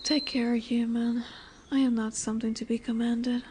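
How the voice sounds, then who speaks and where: A woman's voice: a little high, little pitch movement, normal speed, darkish tone, close to an everyday voice. A woman voicing a large lamia, a seductive serpent woman who is commanding yet soothing, in a formal, hypnotic, coaxing manner for a roleplay audio drama. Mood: imperious, curious. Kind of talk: roleplay audio drama with soft whispered passages. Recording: noisy woodland ambience with birdsong. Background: birdsong and forest ambience.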